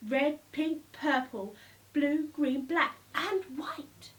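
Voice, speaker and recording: A woman reading a children's storybook aloud, with expressive, deliberate phrasing and emphatic repetition. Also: sits high. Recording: slightly distant microphone.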